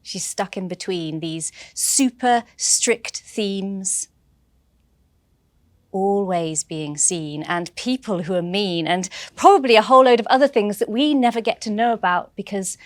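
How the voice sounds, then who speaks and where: A woman's voice: medium pitch, a little slow, dry recording. A woman who grew up between England and Trinidad, performing rhyming spoken-word poetry in a playful, rhythmic way.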